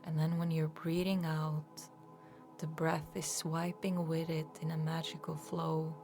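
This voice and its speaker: A woman leading a guided meditation as an energy healer, speaking in a soft, soothing, unhurried way with breathy phrase endings. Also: deep voice, little pitch movement, faint music behind.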